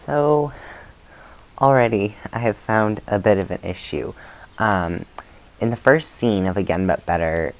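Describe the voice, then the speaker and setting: Low-voiced man chatting casually. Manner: chatty, informal, halting and self-correcting. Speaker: book reviewer and vlogger. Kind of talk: casual reading vlog, giving opinions.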